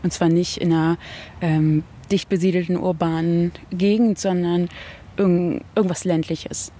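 A woman in her thirties from Berlin chatting casually and relaxed outdoors, with frequent fillers and tag questions. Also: on the low side, moderate pace. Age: 30s. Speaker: interviewee. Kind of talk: casual chat. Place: outdoors in a small town.

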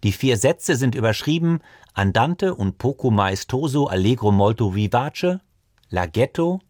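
A man works as a radio music presenter, reading prepared narration. His voice sits in a mid-range pitch and is recorded very dry, with hardly any room sound. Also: expressive, measured pace, darkish tone, no echo. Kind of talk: scripted narration.

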